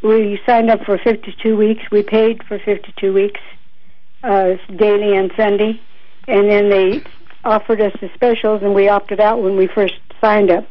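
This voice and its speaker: A woman's voice: medium pitch, little pitch movement, normal speed, muffled recording. A woman calling in to a radio show, telling her complaint in a plain, matter-of-fact way. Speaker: caller. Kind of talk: phone-in caller.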